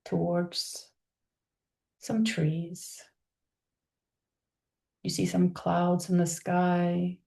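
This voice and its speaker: A woman leads a guided visualization at a very slow pace, leaving space between her phrases. Her voice is mellow and fairly low, and her delivery is soothing and gentle, like someone settling a listener into stillness. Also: vocal fry. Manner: soothing, unhurried, with long pauses between phrases. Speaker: guided meditation leader. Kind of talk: guided meditation, soft spoken visualization.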